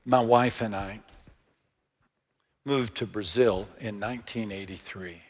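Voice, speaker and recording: A man in his sixties with a Texan accent, preaching a sermon in a slow, measured way that sinks to hushed, near-whispered lines. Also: lowish voice, big-room sound. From Texas. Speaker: pastor.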